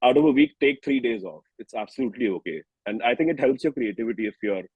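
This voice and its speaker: A man with an Indian accent speaking reflectively as an interviewed singer-songwriter, haltingly and often rephrasing himself. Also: mid-range voice, animated, breathy edge, no room sound, phone-quality sound. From New Delhi, India.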